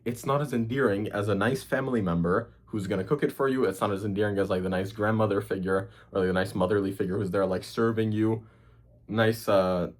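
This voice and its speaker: Young male cooking host, Hungarian accent gone a bit American, low husky voice, profane off-the-cuff vlog rant. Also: fairly quick. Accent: Hungarian, partly Americanized. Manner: profane, rambling, with frequent fillers. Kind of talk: casual rant to camera.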